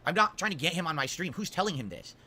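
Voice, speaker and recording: Rapid, exasperated high-voiced older man. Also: very animated, pushed and bright. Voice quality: high-pitched voice. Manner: incredulous, exasperated, rapid-fire rhetorical questions. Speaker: streamer being reacted to. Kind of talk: ranting stream commentary.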